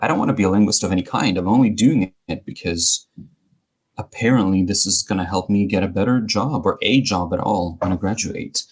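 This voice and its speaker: A man's voice: low-pitched, fairly quick, slightly rough. A man who is a native speaker of Greek and German, talking articulately and wryly as a coach being interviewed. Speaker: podcast guest, speaking coach. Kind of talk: conversational interview.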